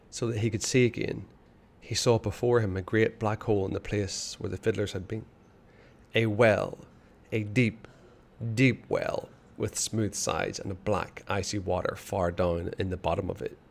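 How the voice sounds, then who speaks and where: A man reading a children's novel aloud, expressive and hushed in the dark passages. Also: lowish voice, creaky. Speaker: storyteller.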